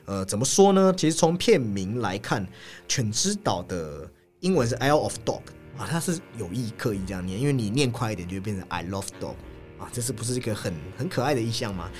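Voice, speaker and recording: A man's voice: lowish voice, expressive, brisk, a little mellow, faint music behind. Guy doing film commentary on a podcast, explains stuff, repeats himself, voice moves up and down a lot.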